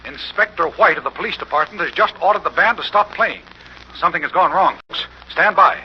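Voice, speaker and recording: An American man playing an earnest, excitable on-the-scene reporter in a 1940s radio drama, announcing formally in a projected voice. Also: high-pitched, fairly quick, natural voice. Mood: concerned. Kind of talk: 1940s radio drama, live news commentary. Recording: muffled old radio, faint noise.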